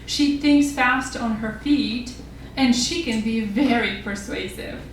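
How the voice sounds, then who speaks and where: A woman's voice: fairly high pitch, slow pace. A woman hosting a stage event, reading a scripted introduction for the next speaker. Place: echoey room, live stage event. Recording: very echoey room, distant mic, noisy.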